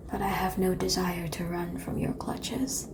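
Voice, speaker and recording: A woman playing a seductive, world-weary vampire in an ASMR roleplay, calm and teasing, with a breathy whisper and languid, formal phrasing. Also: fairly low pitch, slow, noisy background. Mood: teasing, resigned.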